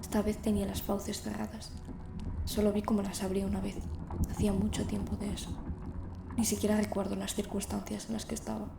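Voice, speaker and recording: A woman's voice: mid-range voice, completely flat delivery, fairly quick, vocal fry, reverberant, noisy background. A woman playing a lonely, fearful teenage girl in an audio drama, with an introspective, confiding manner. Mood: awed, uneasy. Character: lonely, fearful teenage girl narrating her secret visions. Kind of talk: first-person audio drama narration and dialogue.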